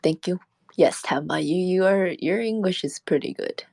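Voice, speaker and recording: A woman's voice: fairly low pitch, normal speed, creaky, a little mellow, dry recording, studio quality. A woman streamer chatting casually and rambling, laughing often as she talks. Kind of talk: casual late-night stream chat.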